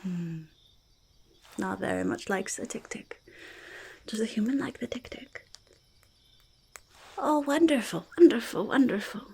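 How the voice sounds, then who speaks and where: A woman voicing a curious, skittish and chatty forest goblin in soft-spoken, half-whispered ASMR roleplay, halting and repeating words. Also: on the high side, lively intonation, creaky, husky, exaggerated voice. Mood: pleased. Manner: halting, repetitive, speaks of self in third person.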